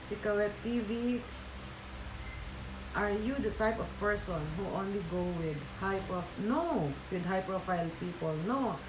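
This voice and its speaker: A woman's voice: mid-range voice, unhurried, reverberant, noisy background. A Filipina woman with a Filipino accent, a Tagalog speaker, hosting a casual livestream and chatting with viewers with many fillers and restarts. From Philippines. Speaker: livestream host.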